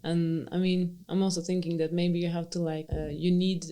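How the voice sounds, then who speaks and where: A woman's voice: fairly low pitch, flat delivery, normal speed, warm tone, clean tone. A woman from Sweden, a cello teacher hosting a podcast, speaks English as a second language, with light fillers and supportive short replies. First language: Swedish. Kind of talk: casual interview conversation.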